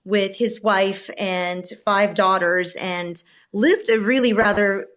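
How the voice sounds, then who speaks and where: A woman's voice: mid-range voice, steady tone, fairly bright tone. Thoughtful Romanian-born woman novelist. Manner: thoughtful, measured, with frequent fillers and restarts. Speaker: novelist guest. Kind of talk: podcast interview answers.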